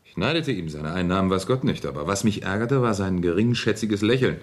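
Low-voiced, sardonic company executive. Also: male voice, animated, a little fast. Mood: rueful. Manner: sardonic, exasperated, blunt. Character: embittered, cynical deputy factory director deep in trouble. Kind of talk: German radio drama acting.

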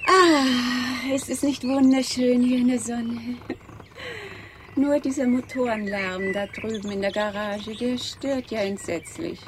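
A genteel, excitable aristocratic lady in a radio crime drama. Her voice is high, set among birdsong and a little background noise. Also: slow pace. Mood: languid, bossy.

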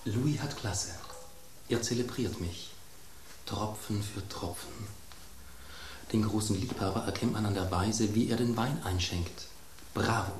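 Pompous, lowish man as a sensual fine wine. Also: a little slow, pauses often, echoey room, a little hiss or hum, lightly acted. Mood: savouring. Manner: pompous, savouring. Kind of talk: German radio play acting.

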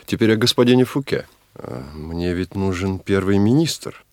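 An actor playing a freed captive prince in a radio play, resolute and calculating, speaking formally and deliberately. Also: male voice, deep voice, expressive, mellow, no echo. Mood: calculating, regal. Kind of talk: Russian radio play acting.

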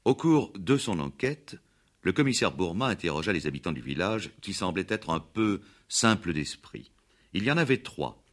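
A man narrating a scripted 1960s radio play with deliberate storytelling phrasing. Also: sits low, sing-song.

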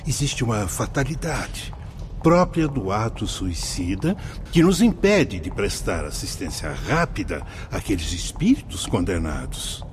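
A Brazilian man playing an earnest, kindly spirit guide in a radio drama, speaking in a formal, measured, instructive way. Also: on the low side, sing-song, slight background noise. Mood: grave, reassuring. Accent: Brazilian Portuguese.

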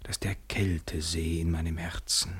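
Deep, raspy, yearning lover. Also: male voice, little pitch movement, moderate pace. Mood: searching. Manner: intimate, lyrical, sometimes near whisper. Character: tender, yearning male lover, a foreigner. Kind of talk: poetic radio play acting.

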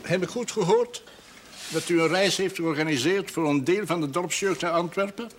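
A man's voice: high-pitched, natural voice. Stern, pompous old pastor, played by a man. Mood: reproachful, imperious. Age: older. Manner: formal, pompous, measured and admonishing. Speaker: actor in a television drama series. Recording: faint background noise, old television audio.

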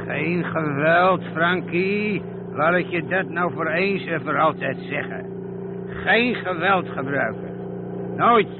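An actor playing an old career thief in a radio play, lecturing emphatically. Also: male voice, on the high side, takes it slowly, narrow, tinny audio. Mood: insistent. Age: older. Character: old male career thief, insistent, preaching against violence. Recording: noisy, thin old radio recording.

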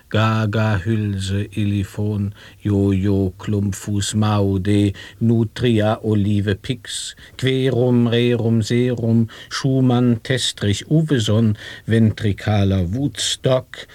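A man performing experimental sound poetry in a studio radio play, with slow, deliberate, syllable-by-syllable delivery. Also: fairly low pitch, a little mellow, no echo. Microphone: studio microphone.

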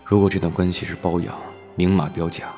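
An actor playing a gentle, reserved, self-doubting male film actor in a Chinese audio drama. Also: low voice, level tone, a little fast, faint music behind. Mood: brooding.

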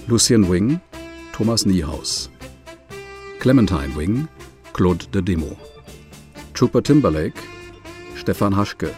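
A man announcing in a measured, scripted way, reading out titles and credits. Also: low-pitched, darkish tone.

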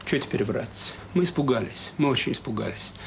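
A man's voice: medium pitch, lively intonation, brisk, vocal fry, noisy background. An actor playing a troubled, remorseful middle-aged father in a radio drama, speaking hesitantly and earnestly. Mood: bitter regret. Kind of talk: Soviet radio drama acting.

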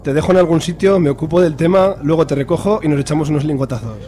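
A man playing a fast-talking, crude pal. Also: fairly high pitch, dark tone, slight background noise, lightly acted. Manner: quick, slangy, swears.

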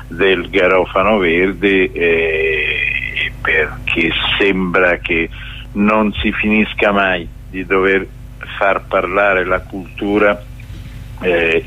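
Very low-voiced, halting man. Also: phone-quality sound. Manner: hesitant, halting, searching for words. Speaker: festival curator interviewed as guest. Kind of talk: spontaneous radio interview answers.

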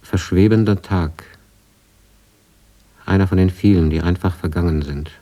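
A man's voice: low-pitched, fairly even intonation, normal speed, warm tone. An actor playing a brooding writer who reads his old travel diary in terse, reflective fragments in a radio play. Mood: brooding, uneasy. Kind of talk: radio drama diary reading.

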